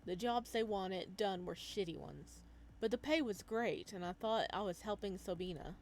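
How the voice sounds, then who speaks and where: A woman's voice: mid-range voice, moderate pace, a little mellow, slight background noise, close to an everyday voice. A woman voicing a guarded, blunt woman who left a company, wry and matter-of-fact, in a visual novel read-aloud. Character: guarded, blunt ex-employee turned community organiser, woman.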